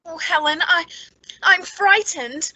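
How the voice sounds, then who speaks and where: A woman's voice: very high voice, slow, bright, forceful tone, dry recording, heavily acted, rough recording. An actress playing a nervous, secretly bitter woman with a hesitant, pleading manner in an old-time radio suspense drama. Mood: frightened.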